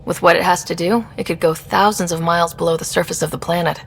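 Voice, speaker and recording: Inquisitive young female explorer, slightly low voice, creaky, direct and casual, sci-fi game dialogue. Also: steady tone, fast talker, soft background music. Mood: curious. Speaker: player protagonist. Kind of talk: scripted video game dialogue.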